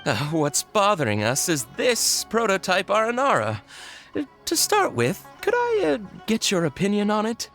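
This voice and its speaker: Flustered, wildly expressive toy carver. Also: male voice, sits high, soft background music, heavily acted. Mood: anxious, dejected. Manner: hesitant, halting, dramatic sighing worry. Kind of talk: animated video game dialogue.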